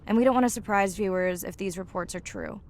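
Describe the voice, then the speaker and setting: A woman playing a caring, reflective young marine scientist in a video game, speaking naturally and intimately with a slightly gravelly edge. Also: mid-range voice, fast, darkish tone, a little hiss or hum, natural voice. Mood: concerned.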